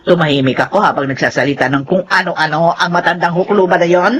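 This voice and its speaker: A woman's voice: lowish voice, quick pace, fairly bright tone, slightly rough. An actress playing a resentful older woman telling of her feud in a radio drama. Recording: music behind voice.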